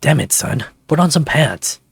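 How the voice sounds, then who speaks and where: A man's voice: fairly high pitch, animated, moderate pace, gravelly, breathy edge, dry recording, voice pushed up, heavily acted. A man voicing a fatherly adult male dragon in a visual novel, with a polite, considerate manner. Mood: exasperated.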